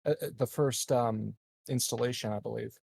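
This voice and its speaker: A man's voice: medium pitch, steady tone, normal speed, vocal fry, a little mellow, breathy edge, dry recording, clean studio sound. A man chatting casually as a player in a tabletop roleplaying game.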